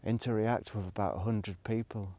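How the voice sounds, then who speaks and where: A man's voice: on the low side, fairly even intonation, normal speed, a little mellow. A middle-aged man, rambling reflectively in a casual solo monologue, hesitant and thinking aloud as he goes. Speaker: solo video blogger.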